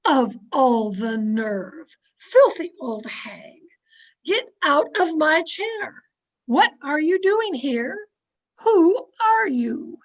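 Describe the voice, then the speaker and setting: A woman acting a bossy society wife in an old-time radio play. Her voice is high and her delivery slow and theatrical. She scolds and commands with haughty indignation. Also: sing-song, exaggerated voice. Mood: outraged, commanding. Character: domineering, haughty society wife, easily outraged. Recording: amateur video-call recording.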